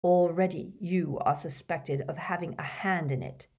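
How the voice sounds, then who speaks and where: A woman voicing a cold, menacing male schoolmaster who speaks low, quiet and curt. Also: measured pace.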